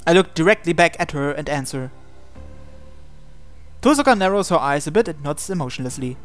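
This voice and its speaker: A man's voice: on the high side, animated, fairly quick, soft background music. A man hosting a game video, reading visual novel text aloud and adding his own asides. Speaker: gaming video host.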